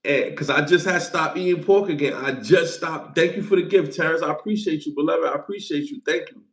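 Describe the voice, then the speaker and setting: A man's voice: on the high side, brisk, fairly bright tone, roomy sound. A man in his forties hosting a casual livestream, loose and playful, repeating himself and thanking viewers for gifts. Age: 40s. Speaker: livestream host.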